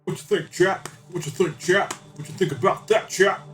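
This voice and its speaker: Casting: male game streamer, chatty and playful, pitch a little above average, casual banter with viewers. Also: normal speed, a bit bright, faint music behind. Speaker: live streamer.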